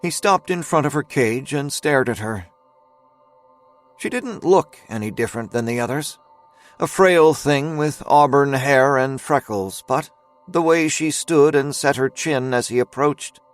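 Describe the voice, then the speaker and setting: A man narrating a scripted dramatic story as its storyteller, in a measured, suspenseful manner. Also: medium pitch, faint music behind, close to an everyday voice.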